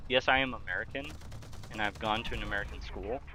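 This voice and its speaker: A man's voice: fairly low pitch, quick pace, sharp tone, poor audio. A young man livestreaming a game, chatting casually, reading out chat questions and answering them while he talks through his play. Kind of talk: casual live-stream chat while gaming.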